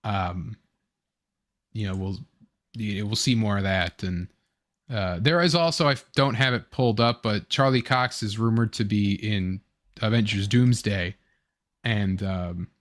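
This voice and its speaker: Rambling young man hosting a fan chat. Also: on the low side. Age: young adult. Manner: rambling, halting, fragmented phrasing. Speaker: online show host. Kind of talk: casual fan commentary, reading chat comments.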